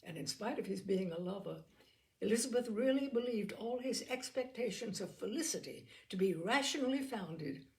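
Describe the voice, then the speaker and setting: Female audiobook narrator for classic fiction, noticeably creaky tone, expressive delivery. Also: mid-range voice, normal speed, rough recording. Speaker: audiobook reader. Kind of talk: scripted literary narration, reading a classic novel aloud.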